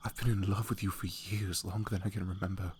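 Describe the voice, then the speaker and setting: A man's voice: deep voice, little pitch movement, quick pace, darkish tone, slight background noise, close to an everyday voice. A man playing a devoted, protective young knight in love, tender and hesitant, in a romantic fantasy ASMR roleplay. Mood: tender, worried.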